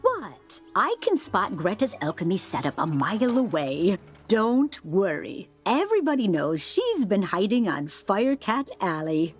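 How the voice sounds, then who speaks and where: An actress playing an obliging, knowing crafting shopkeeper in a video game, with a measured sing-song delivery. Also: female voice, medium pitch, big pitch swings, husky, faint music behind. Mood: helpful, knowing. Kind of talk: video game character dialogue.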